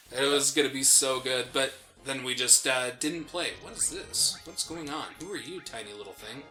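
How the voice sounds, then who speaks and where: Bright, lively-voiced young man chatting. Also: medium pitch. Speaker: co-host of a gaming show. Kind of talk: casual chat during video game commentary. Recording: game music behind the voice.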